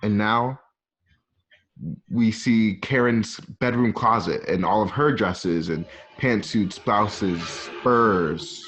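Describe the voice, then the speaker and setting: A man's voice: fairly low pitch, takes it slowly, a bit bright, low-quality recording. A man narrating a screenplay's stage directions for an audio drama table read, recorded at home.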